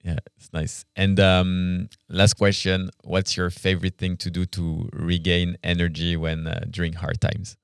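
Low, even-toned smooth male interviewer. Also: measured pace, hardly any pauses, dry recording, studio quality. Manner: easygoing, brief reactions and questions. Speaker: podcast interviewer. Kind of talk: casual conversational interview.

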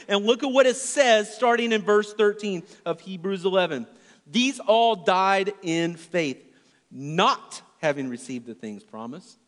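A male pastor preaching a sermon in a church, his delivery earnest and swinging from loud emphasis to hushed, near-whispered lines. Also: high-pitched, in a large hall.

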